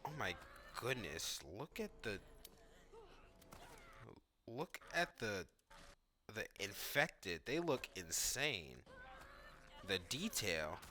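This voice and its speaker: A man's voice: fairly low pitch, very animated, very slow, pauses often, bright, forceful tone, slight background noise. A man giving casual, off-the-cuff commentary on a video game, thinking aloud in informal language and often saying "like". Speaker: gaming video creator reacting to cutscenes and gameplay.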